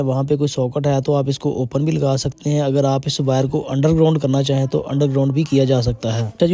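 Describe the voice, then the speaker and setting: A man's voice: fairly high pitch, mellow, no room sound. A man narrating an instructional tutorial as an electrician, explaining step by step in a polite, conversational way.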